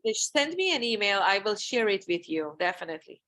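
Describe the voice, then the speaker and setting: A woman from Iran presents at an online meeting in a mid-pitched voice. She speaks haltingly, searching for her words as she goes. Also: big pitch swings, bright, forceful tone. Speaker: guest presenter.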